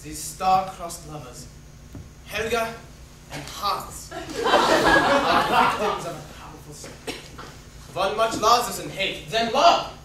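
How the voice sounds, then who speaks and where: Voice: medium pitch, animated, slow pace, pauses often. An amateur actor playing a pompous, mystical writer in a stage play, speaking in a grandly philosophical, fatalistic way. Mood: portentous. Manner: pompous, portentous. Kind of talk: amateur dramatic reading of a play. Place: echoey room, far from the mic. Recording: very echoey, distant, noisy room recording.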